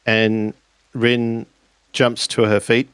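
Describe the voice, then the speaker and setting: A man improvising narration for a tabletop role-playing game, in halting, deliberate phrases with pauses. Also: mid-range voice, monotone, a bit bright, smooth, no echo.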